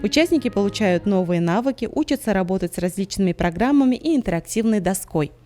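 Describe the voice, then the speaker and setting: Mellow woman narrating news over faint music. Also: mid-range voice, lively intonation, fast talker. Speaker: news reporter. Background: music bed.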